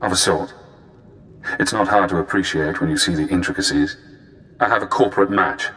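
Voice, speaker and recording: An actor playing a cool, cunning spy handler in a video game, speaking in measured, cerebral, clipped phrases. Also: male voice, low-pitched, bright, forceful tone. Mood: fascinated, calm.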